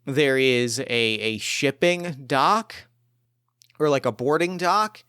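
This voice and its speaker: A man's voice: medium pitch, animated, slow pace, pushed and bright, clean tone, no echo, studio quality. A man hosting as game master, chatting casually and narrating a game scene with enthusiasm. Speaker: game master and podcast host.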